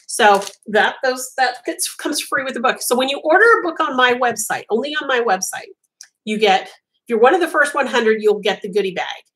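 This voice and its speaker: A woman's voice: a little high, expressive, moderate pace, a bit bright. A woman whose first language was Italian, hosting a casual live chat as a crochet designer, rambling with frequent ums and restarts.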